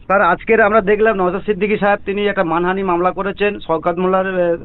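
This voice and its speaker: A man's voice: sits high, little pitch movement, fast, dry recording. A male reporter with a West Bengal Bengali accent putting deferential, persistent questions in a phone interview. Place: recorded phone call. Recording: tinny narrowband phone call.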